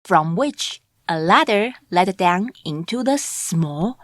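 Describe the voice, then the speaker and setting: A woman narrating a children's storybook from a script in a measured, deliberate read-aloud delivery. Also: lowish voice, animated. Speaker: audiobook narrator. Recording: music bed under the voice. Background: background music.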